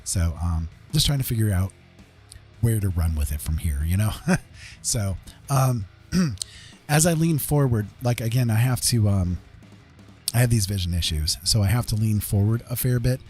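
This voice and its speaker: A man chatting casually on an art livestream in a halting, rambling, apologetic way, talking into a microphone at his desk. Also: on the low side, expressive, a little mellow, husky. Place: indoors at a computer desk. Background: guitar rock music.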